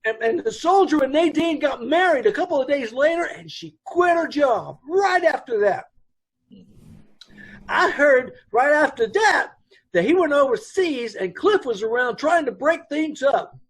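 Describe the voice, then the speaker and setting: A man's voice: very high-pitched, lively intonation, unhurried, full character voice. A man playing a jittery, rambling dance hall owner. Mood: anxious. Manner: rambling, ungrammatical, anxious. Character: nervous middle-aged male dance hall owner. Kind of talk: old-time radio drama recreation.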